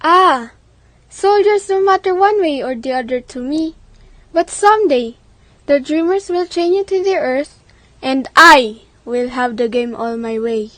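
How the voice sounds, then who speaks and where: A woman as proud, very high-voiced Life. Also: a little slow, fairly bright tone, no echo. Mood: defiant, confiding. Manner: dramatic, earnest. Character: Life, proud, defiant female personification. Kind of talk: radio drama acting.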